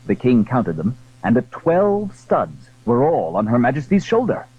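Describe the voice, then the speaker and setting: A man narrating a classic adventure story in a dramatic, scripted style, with a husky voice. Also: medium pitch, huge pitch swings, a little slow, dry recording, dull audio.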